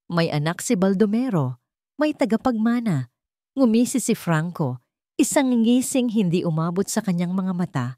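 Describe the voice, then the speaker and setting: A woman's voice: on the low side, dark tone, no echo, clean studio sound. A woman reading a scripted dramatic story as a narrator.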